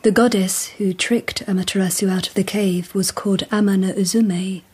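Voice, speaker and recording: An actress playing a dignified, perceptive empress in a radio drama, breathy, poised and quietly wary. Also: female voice, medium pitch, fairly even intonation. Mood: reflective, shrewd. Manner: poised, formal, deliberate.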